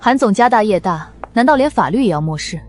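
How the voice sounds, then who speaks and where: A woman's voice: mid-range voice, lively intonation, fast talker, warm tone, close to an everyday voice. A woman playing a composed young lady who speaks formally and pointedly, confronting someone in a web-novel drama. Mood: polite, challenging.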